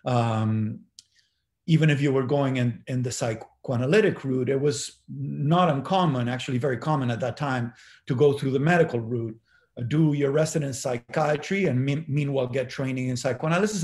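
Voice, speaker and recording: Halting middle-aged man with Argentine accent. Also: mid-range voice, darkish tone. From Buenos Aires, Argentina. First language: Spanish. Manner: halting, searching for words. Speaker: interview guest. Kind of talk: reflective personal recollection.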